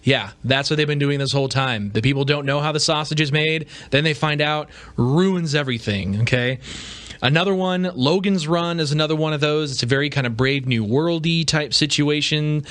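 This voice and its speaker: A man's voice: on the high side, moderate pace, fairly bright tone. A man hosting a podcast with casual solo commentary, talking informally and conversationally with rhetorical asides. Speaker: podcast host.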